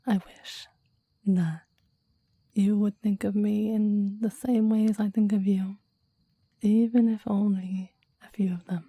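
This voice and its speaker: A woman's voice: mid-range voice, little pitch movement, takes it slowly, mellow, dry recording. A woman playing a clingy, devoted demon lover in an intimate ASMR roleplay, whispering breathily and reverently in formal, old-fashioned phrasing, close to the microphone. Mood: yearning, tender. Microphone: close microphone, dry.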